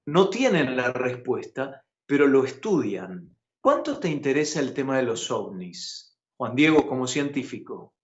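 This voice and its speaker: A man's voice: mid-range voice, unhurried, roomy sound. A man from Argentina with an Argentine accent, hosting a live news chat and talking with emphasis. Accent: Argentine Spanish. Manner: animated, emphatic, asks co-host questions. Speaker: news host. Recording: muffled broadcast audio.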